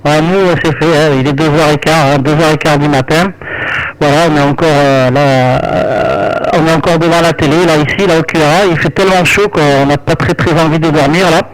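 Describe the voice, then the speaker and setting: Man, slightly high voice; relaxed ham radio chat, friendly and rambling. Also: brisk, low-quality recording. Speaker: amateur radio operator. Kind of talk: casual ham radio conversation. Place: at home at night.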